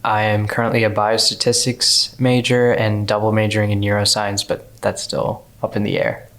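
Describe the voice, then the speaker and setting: A man's voice: lowish voice, flat delivery, moderate pace, fairly bright tone. A young man around twenty from North Carolina, plainly introducing himself. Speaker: scholarship student.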